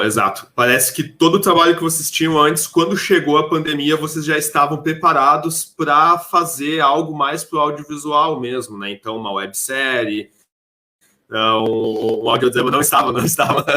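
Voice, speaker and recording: A man with a bright, clear-edged tone at an average pitch. He conducts a conversational interview, wandering through his questions as he builds them. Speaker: podcast interviewer.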